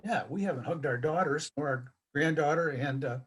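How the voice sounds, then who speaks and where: A man's voice: fairly high pitch, normal speed, fairly bright tone, husky. A seventy-nine-year-old man reminiscing casually in an interview.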